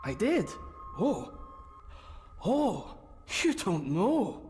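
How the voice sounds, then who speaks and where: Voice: medium pitch, sing-song, very slow, darkish tone. An actor playing an evasive, flustered household servant in a video game drama. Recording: long reverb, music behind.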